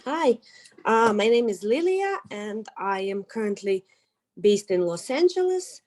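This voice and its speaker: A woman's voice: medium pitch, very animated, a little slow, a little mellow. A woman from Ukraine, a community volunteer, talking casually on a video call, hesitant with many fillers and restarts.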